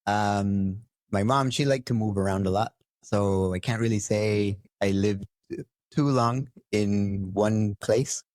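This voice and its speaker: Podcast guest, man from Costa Rica, deep-ish voice, close dry mic, stop-start storytelling. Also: darkish tone, dry recording. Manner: halting, many fillers and restarts. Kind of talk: interview storytelling.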